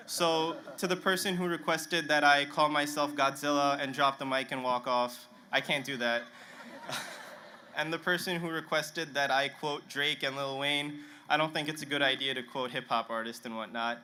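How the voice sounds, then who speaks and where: A man's voice: on the high side, bright, forceful tone, slight background noise. A young man whose first language is Punjabi, giving a nervous, self-joking student speech at an outdoor stadium ceremony into a podium microphone. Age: young adult. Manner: nervous, self-deprecating humor. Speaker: student commencement speaker. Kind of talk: commencement speech.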